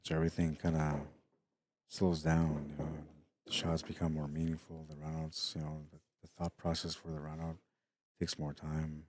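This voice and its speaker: A middle-aged man commentating a pool match in a hushed, unhurried voice with a very deep bass, tired late in the day. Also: monotone, slight background noise. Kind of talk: quiet live sports commentary. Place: pool hall during a tournament.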